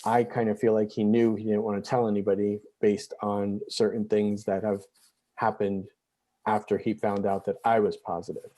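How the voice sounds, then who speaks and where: A man in his forties telling his own life story as an interview guest, halting, with frequent restarts and fillers. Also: fairly low pitch, little pitch movement, mellow, low-quality recording. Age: 40s. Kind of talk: candid personal storytelling.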